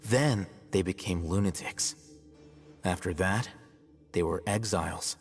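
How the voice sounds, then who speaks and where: Low-voiced aloof male scholar. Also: in a large hall, soft background music. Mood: explaining. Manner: measured, articulate, detached, slightly smug. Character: aloof, self-assured young male scholar and scribe. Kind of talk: video game voice acting.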